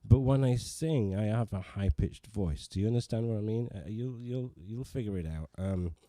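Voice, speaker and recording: A man's voice: fairly low pitch, normal speed, dark tone, no echo. A man hosting a casual livestream from his living room, rambling and self-deprecating, with many restarts as he chats with viewers. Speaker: livestream host and pianist. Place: living room, digital piano.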